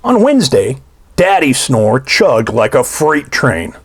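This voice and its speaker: Very animated, slow-paced male storyteller. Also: medium pitch, breathy edge. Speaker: storybook reader for children. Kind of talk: children's read-aloud storytelling.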